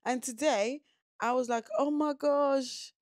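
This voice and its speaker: A woman vlogger chatting casually about her day, repeating words and quoting people in quick bursts. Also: sits high, steady tone, no room sound, studio quality. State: tired after no sleep. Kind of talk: casual vlog recap.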